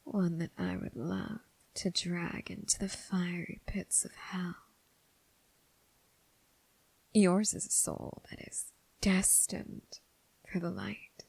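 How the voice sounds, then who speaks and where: A woman playing a seductive, menacing crossroads demon who toys with her prey, in a breathy, whispered ASMR roleplay with slow, teasing, formal phrasing. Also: fairly low pitch, very animated, darkish tone, no room sound, full character voice, low-quality recording. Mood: hungry menace.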